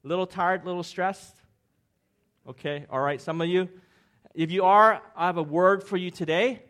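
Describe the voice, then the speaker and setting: Upbeat joking pastor from Hong Kong. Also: male voice, sits high, moderate pace, a bit bright. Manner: jokey, upbeat, asks the crowd questions. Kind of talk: sermon with audience interaction.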